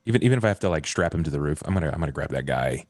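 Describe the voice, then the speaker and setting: A man with an exceptionally deep voice plays a tabletop game. His pitch moves around a lot as he casually talks through his move, recorded dry. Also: animated, rapid-fire, no room sound. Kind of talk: casual chat, describing game actions.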